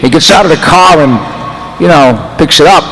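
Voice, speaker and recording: Male live narrator, noticeably high voice, casual script read in a reverberant convention hall. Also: moderate pace, a bit bright. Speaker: script narrator on a comedy panel. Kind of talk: casual live reading of stage directions. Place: stage in a large hall.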